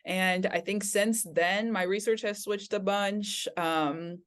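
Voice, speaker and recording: A woman's voice: mid-range voice, fairly even intonation, takes it slowly. A woman in her twenties from Taiwan, a podcast guest talking in a chatty, candid way. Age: 20s. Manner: chatty, candid, laughing easily. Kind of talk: casual interview.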